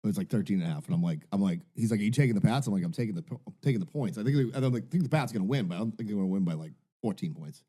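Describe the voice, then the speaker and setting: Man, mellow dark voice, rambling casual podcast chat, swearing. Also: on the low side, expressive, very fast, hardly any pauses. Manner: rambling, swearing, many fillers. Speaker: podcast co-host.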